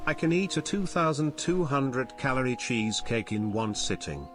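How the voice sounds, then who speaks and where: A man reads a short viewer message in a flat, matter-of-fact manner. His voice is mid-pitched but moves up and down a lot. Clear game music plays under him. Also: moderate pace.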